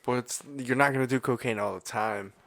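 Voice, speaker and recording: A man's voice: medium pitch, steady tone, brisk, gravelly, fairly bright tone, dry recording. A man chatting casually and informally in a group conversation. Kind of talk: casual chat.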